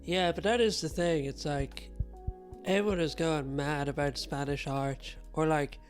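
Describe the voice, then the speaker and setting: Dublin guy on a podcast, slightly higher voice, dry jokes, faint music under it. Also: steady tone, unhurried. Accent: Irish. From Dublin, Ireland. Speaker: podcast co-host. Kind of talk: casual chat.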